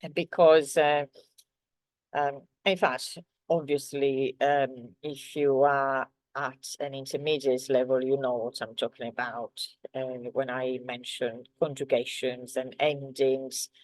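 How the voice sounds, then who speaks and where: Slow, hesitant Italian-accented woman teacher. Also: a bass voice, flat delivery, no room sound, rough recording. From Sardinia, Italy. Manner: hesitant, many fillers. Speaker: language teacher. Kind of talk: online lesson, unscripted.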